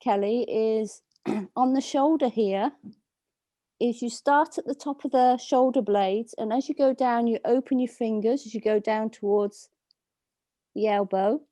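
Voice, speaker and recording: A woman dog trainer giving slow, soothing instructions over a video call, with a calming voice. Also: fairly high pitch, dry recording. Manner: soft, slow, soothing coaching. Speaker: dog trainer leading a workshop.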